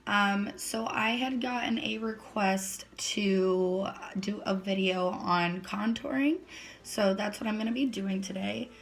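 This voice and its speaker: A young woman with a slightly raspy voice, still recovering from laryngitis, chatting casually through a makeup tutorial with lots of fillers. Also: mid-range voice, slow. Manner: chatty, filler-heavy step-by-step explaining. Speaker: beauty vlogger.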